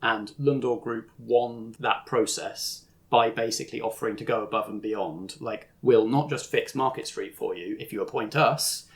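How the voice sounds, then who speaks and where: A man's voice: mid-range voice, normal speed, hardly any pauses. A man narrating a tabletop roleplaying game as game master, explaining things in an informal way.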